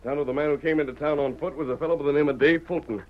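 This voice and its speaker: A man's voice: a little high, steady tone, very fast, lightly acted. An actor playing a resolute, fair-minded American hero in an old radio Western, earnest and formal in his diction. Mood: grave, determined. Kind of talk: 1940s Western radio drama acting. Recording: dull old radio recording.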